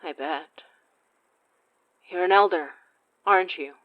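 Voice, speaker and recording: An actress playing a wary, blunt occult investigator in an audio horror drama, controlled and accusing. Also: female voice, on the low side, slow pace, dull audio. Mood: stern, impatient.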